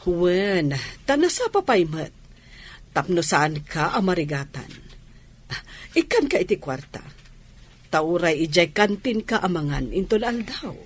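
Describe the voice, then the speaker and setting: An actress playing a generous, devoted lover in a radio drama, husky, with a Filipino accent. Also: female voice, fairly low pitch, very animated, measured pace. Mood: doting. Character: generous, devoted lover offering money and support. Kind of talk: Ilocano radio drama acting.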